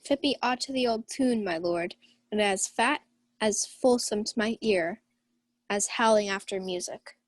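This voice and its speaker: A woman's voice: mid-range voice, measured pace, no room sound, lightly acted. A young woman playing a proud, passionate, imperious Countess in a Shakespeare radio play. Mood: haughty. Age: young adult.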